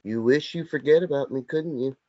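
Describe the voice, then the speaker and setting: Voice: medium pitch, a little fast, darkish tone, natural voice. A reader playing a teasing ex-boyfriend who won't leave in a table read of a stage play. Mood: needling. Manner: needling, persistent. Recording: dull lo-fi recording.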